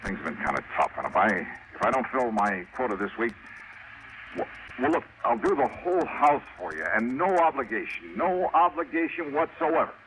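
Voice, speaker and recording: A man's voice: mid-range voice, huge pitch swings, normal speed, fairly bright tone. An American actor playing a wisecracking male investigator in a golden age radio drama, with folksy, hesitant patter. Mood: pleading. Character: smooth wisecracking private investigator posing as bashful salesman. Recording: noisy old radio drama recording.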